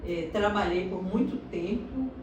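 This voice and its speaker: A middle-aged woman from Salvador, telling her life story in a slow, reflective interview. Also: mid-range voice, distant mic, muffled recording. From Salvador, Bahia, Brazil. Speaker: interviewee, doctorate holder. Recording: echoey room, recorded from afar, noisy.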